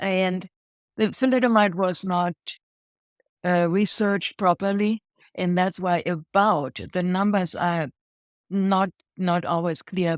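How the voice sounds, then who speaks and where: A woman's voice: fairly low pitch, dry recording, studio quality. A woman in her sixties from Germany with a German accent, telling her life story as an interview guest, upbeat and laughing often. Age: 60s. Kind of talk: interview chat.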